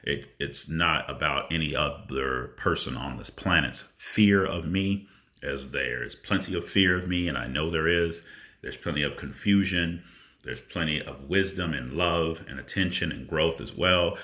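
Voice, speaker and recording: A man with a deep voice giving a spontaneous spiritual monologue, earnest and reflective, with drawn-out pauses. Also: pushed and bright. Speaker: spiritual vlogger.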